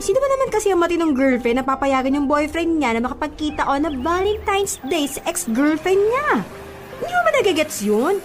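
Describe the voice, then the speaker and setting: An actress playing a blunt, exasperated young Filipino woman, the sassy best friend in a radio drama, nagging with dramatic sarcasm. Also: high-pitched. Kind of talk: radio drama acting in Taglish. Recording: music behind the voice.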